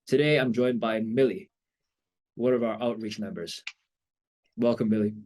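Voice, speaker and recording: A man's voice: medium pitch, steady tone, normal speed, a little mellow. A young man hosting a student podcast in an upbeat casual style, often saying "absolutely". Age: young adult. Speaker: podcast host.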